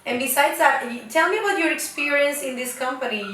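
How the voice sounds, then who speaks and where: Bright-voiced woman host, echoey room. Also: high-pitched, a little fast, a little hiss or hum. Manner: chatty, guiding questions. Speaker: host, interviewer. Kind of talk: casual interview chat. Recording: echoey room, distant mic.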